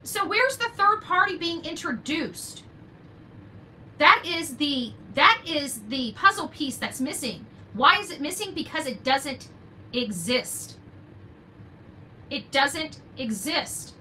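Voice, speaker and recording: Bright, forceful older woman giving commentary. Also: high-pitched, animated, takes it slowly, slight background noise. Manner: emphatic, repeats key phrases for stress. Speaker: true-crime commentary host. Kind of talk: unscripted opinion commentary.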